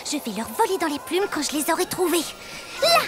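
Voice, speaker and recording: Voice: very high-pitched, sing-song, a little fast. A performer playing a feisty, determined six-year-old girl superhero in a dubbed children's cartoon. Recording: music behind the voice.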